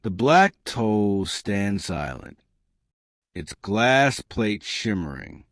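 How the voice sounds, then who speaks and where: A man narrating a scripted supernatural thriller in a measured, dramatic, suspenseful way. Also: on the low side, sing-song, no echo, clean studio sound. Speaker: storyteller narrator.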